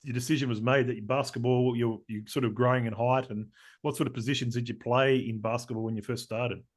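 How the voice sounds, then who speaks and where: A man's voice: medium pitch, fairly even intonation, fairly quick. An Australian man hosting a podcast, speaking in a loose, hesitant way with many "uh"s. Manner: loose, hesitant, frequent "uh" and restarts. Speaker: podcast host.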